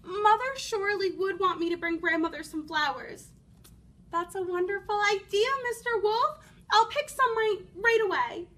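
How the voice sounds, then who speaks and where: Lady doing a super high little storybook girl, sweet n naive, eager, bright voice. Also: moderate pace. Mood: earnest, eager. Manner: bright, earnest, innocent.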